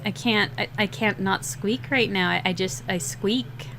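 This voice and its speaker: A young woman with a slightly rough, scratchy voice, rambling and laughing at herself in a casual chat while drawing, short on sleep. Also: mid-range voice, unhurried, a bit bright. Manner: rambling, giggly, self-deprecating. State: sleep-deprived. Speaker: digital artist streaming a drawing session.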